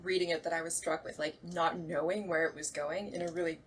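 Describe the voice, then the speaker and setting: A woman's voice: deep voice, fairly even intonation, a little fast, slight background noise. A woman giving her thoughts in a casual, reflective discussion.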